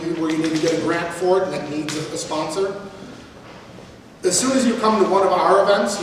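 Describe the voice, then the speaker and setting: A man hosting an event, making announcements and introducing the speaker in a loose way, repeating words and saying "you know". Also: high-pitched. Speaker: event host. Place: event hall in an arts building. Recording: echoey hall, mic far off, background noise.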